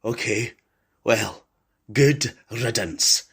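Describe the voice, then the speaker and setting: A man playing a gruff, impatient survivor in a post-apocalyptic audio drama, brash, blunt and loud. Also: medium pitch, very slow, full character voice. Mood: angry, sardonic. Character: gruff, impatient, foul-mouthed male survivor. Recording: music under the voice.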